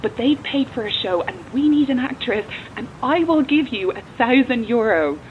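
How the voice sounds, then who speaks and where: A woman's voice: fairly high pitch, animated, normal speed. A woman playing an urgent, polite employee pleading formally over a gate intercom in an audio horror drama. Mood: urgent, insistent. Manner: formal, insistent. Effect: intercom filter. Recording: muffled, noisy intercom speaker.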